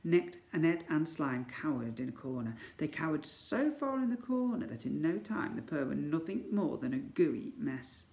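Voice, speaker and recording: Animated man reading a children's story. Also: fairly high pitch, moderate pace, hardly any pauses. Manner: theatrical, exclaims sound words. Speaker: school story reader. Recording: echoey room.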